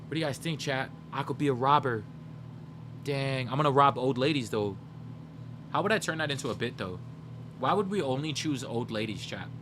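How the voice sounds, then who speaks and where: A young man talking to his live chat in a loose, rambling way, working out joke ideas as he goes. His voice sits in a middle register. A steady hum runs under the recording. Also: medium pitch, moderate pace. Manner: rambling, brainstorming jokes aloud, talking to chat. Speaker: livestreamer. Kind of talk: casual chat with live viewers.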